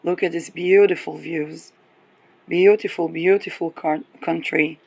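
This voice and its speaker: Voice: medium pitch, fairly even intonation, unhurried, vocal fry, a little mellow, muffled recording. A person giving a casual, rambling astrology talk, chuckling often between remarks. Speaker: astrology reader.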